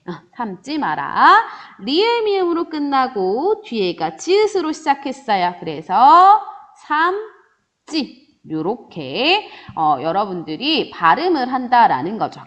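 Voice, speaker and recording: A woman teaching a grammar lesson in a lively way, answering imagined student questions and stretching out example words. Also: high-pitched, lo-fi sound. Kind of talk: online grammar lecture.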